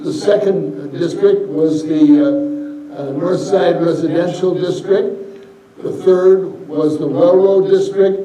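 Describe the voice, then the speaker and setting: An older man from Montana giving a rambling, folksy slide-show history talk, a little hoarse from a cold. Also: on the high side, slow pace, mellow. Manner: folksy, rambling, many fillers. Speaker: local history presenter. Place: lecture hall. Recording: echoey hall, from far off.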